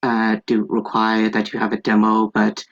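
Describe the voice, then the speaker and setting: A man's voice: lowish voice, completely flat delivery, moderate pace. A young man, a theater student, politely and hesitantly asking a question on a dull, lo-fi online call.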